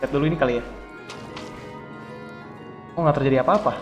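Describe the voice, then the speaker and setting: Young indonesian guy commenting on a game, talks fast, very echoey, music behind him. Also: mid-range voice. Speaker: gaming streamer. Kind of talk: casual gameplay commentary. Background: video game music.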